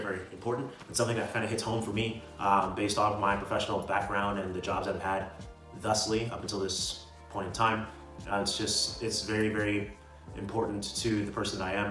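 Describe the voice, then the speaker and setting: Low-voiced young guy in echoey room. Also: a bit bright. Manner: informal and slangy, often tags lines with "right?". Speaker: video host giving self-improvement advice. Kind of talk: casual motivational talk to camera. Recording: roomy echo, distant mic, music behind.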